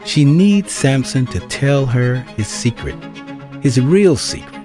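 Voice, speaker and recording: A man's voice: mid-range voice, lively intonation, slow pace. A man reading scripted narration for an audio drama, with string music behind him.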